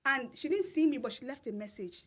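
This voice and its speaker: Nigerian woman guest, slightly high and breathy, emphatic and repetitive storyteller. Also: animated, brisk, fairly bright tone. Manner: emphatic, repeats phrases, laughs through story. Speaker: podcast guest. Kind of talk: storytelling in casual interview.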